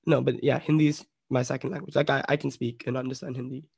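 A young man from Bombay tells a story casually, full of fillers and swearing. His voice has a gravelly fry and stays fairly level in pitch, and the close, dry sound has no room echo. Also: little pitch movement, quick pace, a little mellow. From Bombay, India. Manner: rambling, swears a lot, many "like" fillers. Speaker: podcast guest.

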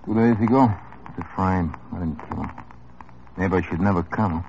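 An actor playing a small-time hoodlum in an old radio drama, tough, wry and world-weary. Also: male voice, sits low, normal speed, a little mellow, slight background noise. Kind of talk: 1950s radio drama acting. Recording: muffled old radio broadcast.

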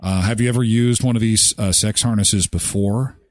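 A man's voice: lowish voice, steady tone, normal speed, dry recording, studio quality, lightly acted. A man playing a polite, officious hotel clerk for a prank call, straight-faced, with hesitant phrasing. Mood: deadpan, polite.

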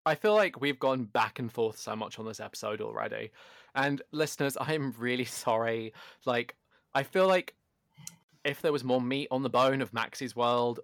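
A man co-hosting a podcast in casual chat, loud and animated, often poking fun at himself. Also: medium pitch, vocal fry, no echo, studio quality. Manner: animated, self-deprecating, loud. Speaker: podcast co-host. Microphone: home podcast microphone.

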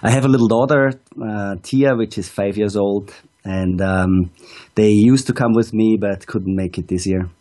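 A man, a ski school director, calling in to tell his story calmly and step by step. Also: fairly low pitch, normal speed. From Austria. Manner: steady, methodical storytelling with "um"s. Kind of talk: casual interview answers. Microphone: calling in over an online video call.

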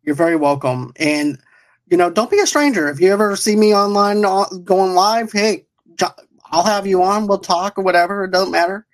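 A man's voice: high voice, moderate pace. A man hosting an online pop culture show in casual chat, talking in a rambling, repetitive way.

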